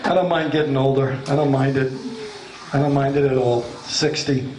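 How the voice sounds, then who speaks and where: A man's voice: medium pitch, fairly even intonation, normal speed. A man in his late fifties with a New York accent doing a stand-up comedy set, brash and self-mocking, swearing freely and acting out the voices he quotes. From Long Island, New York. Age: 50s. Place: comedy club stage before a laughing audience. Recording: echoey club room, loud background noise.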